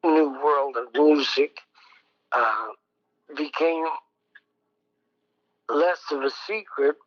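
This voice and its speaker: An older man, a songwriter, recalling his past slowly in an interview, with many pauses and a fairly high voice. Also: muffled recording. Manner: slow, rambling, many ums and pauses. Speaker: songwriter guest. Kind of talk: reflective oral history interview.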